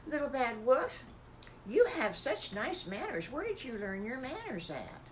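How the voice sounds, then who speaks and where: Woman playing a soothing, slow-spoken mother pig. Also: high voice, big pitch swings, pitched above the performer's own voice. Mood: approving. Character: kindly motherly pig lady, gentle and reassuring.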